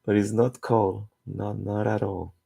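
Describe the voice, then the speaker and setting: A man in his forties from Costa Rica, a native Spanish speaker with a Costa Rican accent and a gravelly, husky voice, chatting casually and searching for words as he draws. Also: fairly low pitch, flat delivery, measured pace, dark tone. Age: 40s. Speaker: comic artist streaming his drawing. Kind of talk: casual chat while drawing.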